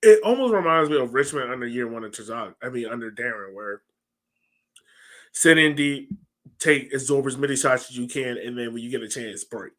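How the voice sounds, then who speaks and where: A man's voice: mid-range voice, fairly quick, a bit bright. American man hosting a casual sports podcast. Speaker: podcast host. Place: small home room, indoors. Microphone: headset on a video call.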